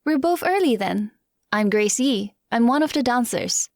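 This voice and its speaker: A woman's voice: on the high side, animated, moderate pace, darkish tone, no echo, clean studio sound. A woman playing a friendly, upbeat young dancer in an audio drama. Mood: friendly, impressed.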